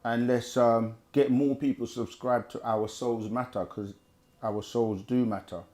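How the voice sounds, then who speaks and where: A man's voice: medium pitch, steady tone, a little slow, darkish tone. A man hosting a live question-and-answer stream, casually reading viewer questions aloud and answering them at length. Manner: conversational, repeats himself, reads chat aloud.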